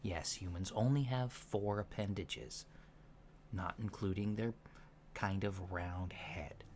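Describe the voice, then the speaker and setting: A man as a soft, slow-talking alien instructor. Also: deep voice. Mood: calm, instructive. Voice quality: soft, hushed voice. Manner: deliberate, deadpan, instructional. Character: soft-spoken alien instructor in human disguise, earnest and matter-of-fact. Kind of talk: soft-spoken ASMR roleplay lecture. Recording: lo-fi with steady background noise.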